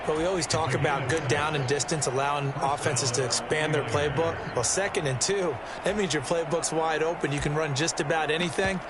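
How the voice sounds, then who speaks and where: An actor playing an opinionated American football color analyst in a sports video game, explaining plays in a conversational way. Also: male voice, on the high side, brisk, hardly any pauses, a loud crowd, very noisy, close to an everyday voice. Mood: analytical, assured.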